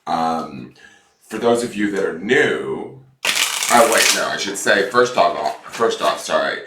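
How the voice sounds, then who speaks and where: A man with a stuffed-up voice, chatting casually as a tarot reader and rambling excitedly about the cards. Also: deep voice, slow. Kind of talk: casual chat to camera. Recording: echoey room from far off, loud noise.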